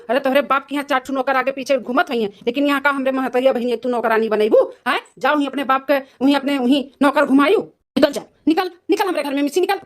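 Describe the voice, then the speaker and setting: Lady doing bossy hot-headed cartoon husband, awadhi accent, pretty high voice, lots of bluster. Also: lightly acted. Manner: scolding, blustering. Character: hot-tempered, domineering husband, loyal to his mother. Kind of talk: amateur cartoon dialogue.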